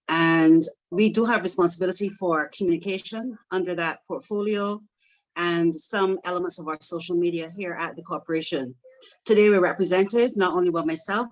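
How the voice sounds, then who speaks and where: A woman giving a formal, measured self-introduction as a director in an online meeting. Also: on the low side. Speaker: sales and marketing director. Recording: slightly dull online call audio.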